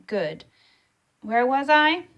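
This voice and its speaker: A woman's voice: high voice, very animated, extremely slow, muffled recording, voice pushed up, exaggerated voice. A woman voicing a kindly old grandfather, telling a childhood tale with emphasis in a storybook read-aloud. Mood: wry.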